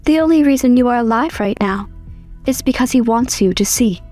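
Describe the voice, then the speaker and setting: A woman's voice: fairly high pitch, lively intonation, fairly quick, warm tone, soft background music, close to an everyday voice. An actress playing a menacing female animatronic clown in a comic dub, cold and commanding. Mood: cold, threatening. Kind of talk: scripted comic dub acting.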